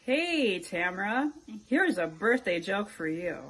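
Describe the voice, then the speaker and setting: A woman's voice: mid-range voice, sing-song, takes it slowly, fairly bright tone, slight background noise. A woman telling a knock-knock joke for a birthday, giggly and playful. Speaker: well-wisher telling a joke.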